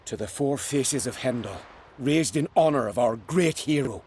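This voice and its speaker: A man's voice: mid-range voice, expressive, moderate pace, a little mellow, slight background noise, natural voice. Solemn, devout male pilgrim played by an actor. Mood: grateful, reverent. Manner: solemn, formal. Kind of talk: video game dialogue acting.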